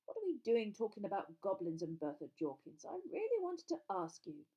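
A woman's voice: medium pitch, huge pitch swings, a little fast, breathy edge, highs cut off, full character voice. A woman voicing a jovial but nervous, wheedling middle-aged man, a sports official in a storybook, with a hesitant, chummy, blustering manner. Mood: strained, chatty.

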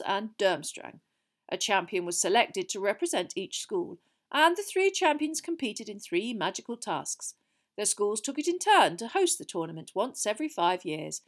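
Lady reading a storybook as a genial old wizard headmaster guy, bright and ceremonious, speechifying loud to the students. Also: fairly high pitch, voice pushed up.

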